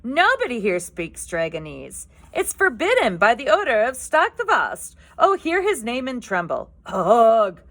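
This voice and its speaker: A woman's voice: fairly high pitch, wildly swooping pitch, a little slow, few pauses, a bit bright, voice pushed up, heavily acted. A woman voicing a self-important male Viking warrior who tries to sound noble, in a pompous manner.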